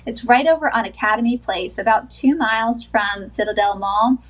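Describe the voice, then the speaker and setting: A woman in her thirties with a slightly high voice talks as a therapist guest on a morning show. She sounds earnest and upbeat, with small laughs between points. She joins by video call from a small wood-paneled room. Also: normal speed. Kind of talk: sponsored TV interview, remote.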